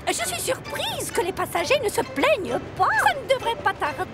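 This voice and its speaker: Squeaky, fussy female railway carriage. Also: sing-song, normal speed, over loud music, full character voice. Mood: mock complaining. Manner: indignant, gossipy, melodramatic. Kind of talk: children's cartoon dub acting.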